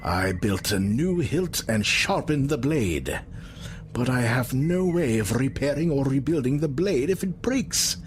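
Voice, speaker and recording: An actor playing an arrogant reptilian scientist in an audio drama, articulate, theatrical and condescending. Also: male voice, medium pitch, lively intonation, unhurried, a little hiss or hum, natural voice. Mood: smug, explanatory.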